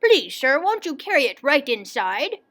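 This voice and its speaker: A woman voicing an excitable, pompous wealthy gentleman in a children's storybook, full of theatrical exclamation. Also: fairly high pitch, measured pace. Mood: eager.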